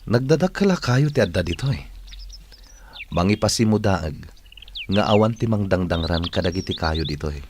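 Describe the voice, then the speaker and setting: Affectionate, easygoing romantic lead, very low voice, radio drama, faint noise behind. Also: male voice, lively intonation, normal speed, pauses often, a little mellow. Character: devoted male sweetheart, affectionate and easygoing.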